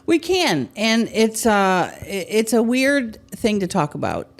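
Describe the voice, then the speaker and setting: A woman hosting a podcast, chatting candidly and with animation, her loudness swinging up and down. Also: mid-range voice, slow. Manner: candid, animated, swings between loud and soft. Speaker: podcast host. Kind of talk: casual chat.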